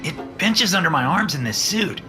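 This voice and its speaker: Guy doing higher voices for the young crew, swoopy and tense, music under it. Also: lively intonation, moderate pace, pushed and bright. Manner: raised pitch for dialogue. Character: young adult characters, men and a woman.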